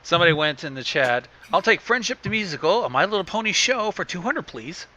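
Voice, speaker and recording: A man banters casually on a stream with blunt remarks. His voice is fairly high and extremely bright, with a sharp edge. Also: very animated, fairly quick, lo-fi sound. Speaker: co-reader, commentator on stream.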